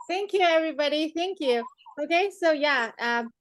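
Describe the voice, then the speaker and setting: High-pitched playful woman teacher in her forties. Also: lively intonation, normal speed, dry recording. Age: 40s. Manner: playful, teasing, often chuckling. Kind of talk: interactive online class chat.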